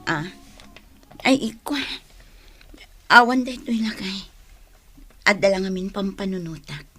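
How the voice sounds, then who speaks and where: A gentle, devoted young wife in an Ilocano radio drama. Her voice is mid-pitched and breathy, and she speaks as someone dutiful and loving. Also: expressive, unhurried. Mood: troubled.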